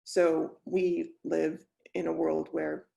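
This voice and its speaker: Gravelly-voiced woman from Venezuela. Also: deep voice, slow pace. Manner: lively and self-deprecating, laughs easily. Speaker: guest, lighting designer. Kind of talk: conversational interview.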